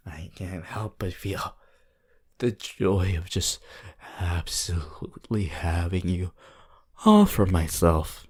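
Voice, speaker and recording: An obsessive yandere lover, played by a voice actor in an intimate ASMR roleplay. The pitch swings widely between tenderness and mania. The delivery is very slow, with a husky, breathy edge. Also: lively intonation, full character voice. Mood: gloating, smitten. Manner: slow, drawn-out, intimate, swelling from whispers to loud outbursts.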